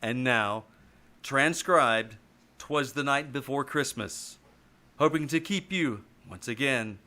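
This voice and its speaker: A man playing a solemn 1950s suspense radio announcer, with a dramatic, deliberate delivery. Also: mid-range voice, pushed and bright. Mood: portentous. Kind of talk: 1950s radio announcing, live stage performance.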